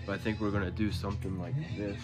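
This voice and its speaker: Casual male vlogger, twenties, low voice with flat delivery, laid-back car-build narration on a handheld phone. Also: fast talker, loud music behind the voice. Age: 20s. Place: home garage workshop, indoors. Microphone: handheld phone at arm's length.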